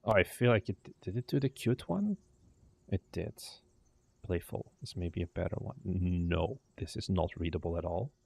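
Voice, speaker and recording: Gravelly low-voiced guy muttering. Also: expressive, moderate pace, darkish tone. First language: Dutch. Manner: mutters to himself, trailing off and swearing lightly. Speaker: indie game developer streaming. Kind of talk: casual livestream, thinking aloud while working.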